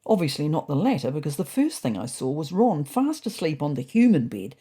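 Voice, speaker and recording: A woman narrating a storybook diary as a fussy, indignant male house cat, in a wry, prim, deadpan manner. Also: sits low, a little fast, breathy edge, heavily acted. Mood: wry, worried. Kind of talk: first-person storybook narration.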